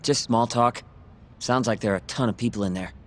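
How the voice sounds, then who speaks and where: Brash, mid-pitched young male sidekick, acted by a man. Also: fairly quick, a bit bright, close to an everyday voice. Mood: businesslike. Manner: casual, snippy, sardonic. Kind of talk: English video game dub acting.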